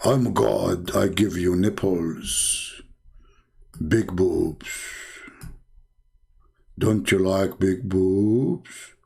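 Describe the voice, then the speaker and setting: A man voicing a mock god who offers gifts in a slow, drawn-out, boastful way. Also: sits low, creaky, a little mellow, slightly rough, pitched below the performer's own voice, heavily acted. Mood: mock-grand, coaxing.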